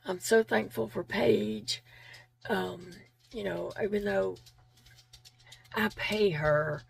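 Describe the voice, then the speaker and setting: A woman vlogger with a raspy voice that she is losing, talking earnestly and gratefully to her viewers in a casual chat, repeating herself with fillers. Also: mid-range voice.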